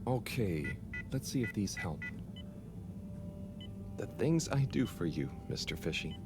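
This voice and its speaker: A man's voice: low-pitched, big pitch swings, a little slow. Casual: nervy sweet young helper guy, keeps apologizing, music under it. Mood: gentle. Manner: nervous, apologetic, rambling. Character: timid, earnest young man, anxious helper. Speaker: lab helper. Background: game music.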